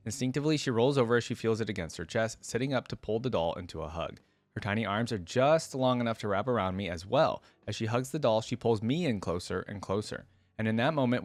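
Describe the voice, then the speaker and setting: A man's voice: fairly low pitch, no echo. A young man hosting a reaction video, reading story text aloud and commenting in an informal, musing way.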